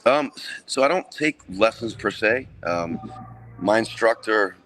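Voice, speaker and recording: A man, answering interview questions in a relaxed, plain-spoken way with many ums and restarts. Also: lowish voice, a little slow, soft background music. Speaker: metal band vocalist, guest.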